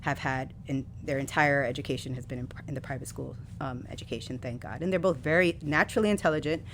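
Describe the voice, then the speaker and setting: A woman poet from New City, a podcast guest telling candid stories about her upbringing with animated, laughing energy. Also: extremely low pitch, fast talker. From New York City. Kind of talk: casual interview conversation. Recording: faint background noise.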